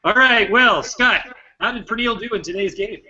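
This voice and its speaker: A man with a bright, forward American voice pitched a little high, working as a show host. He runs a quiz in playful, conversational banter. The recording is somewhat muffled. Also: moderate pace, breathy edge. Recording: dull, band-limited sound.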